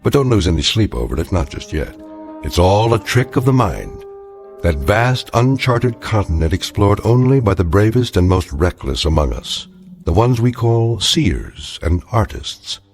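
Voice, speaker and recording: A man narrating a radio drama as a grave, knowing storyteller, measured and portentous with a wry edge. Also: lowish voice, sing-song, a little mellow. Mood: grave, ominous. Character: grave, knowing, ominous storyteller of strange tales. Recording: music under the voice.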